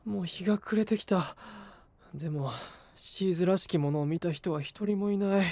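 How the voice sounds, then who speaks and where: A man's voice: high voice, normal speed, a little mellow, no echo. An actor playing an earnest, caring young male hero, sincere and straightforward, in an anime audio drama. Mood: uneasy.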